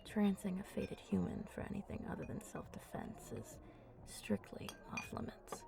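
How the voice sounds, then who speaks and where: A woman playing a flirty, confident vampire in an intimate ASMR roleplay, talking softly and playfully up close and often dropping into breathy murmurs. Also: medium pitch, sing-song, moderate pace, crowd noise. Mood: confiding, flirty. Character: flirty, teasing, confident female vampire at a bar. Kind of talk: ASMR roleplay, intimate one-sided chat.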